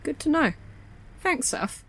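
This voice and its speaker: A woman's voice: high voice, sing-song, slow, fairly bright tone, full character voice. A woman playing a guarded, dryly teasing staffer at a secret facility in an audio drama, with casual, clipped delivery. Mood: probing, casual. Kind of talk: audio fiction acting.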